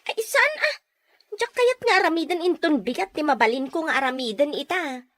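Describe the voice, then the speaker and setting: Audio drama role: industrious house-proud neighbour played by a woman, Ilocano accent, high voice, practical, homely, dry sound. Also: expressive, brisk, a bit bright, no room sound. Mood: busy, dismissive. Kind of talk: Filipino audio drama dialogue.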